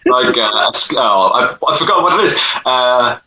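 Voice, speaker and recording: A man's voice: mid-range voice, expressive, moderate pace, bright, forceful tone, highs cut off. A man from Wales with a Newport or Cardiff Welsh accent, chatting as an interview guest in a laughing, self-deprecating way. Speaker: guest musician and writer. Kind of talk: casual interview chat. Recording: roomy echoey call audio.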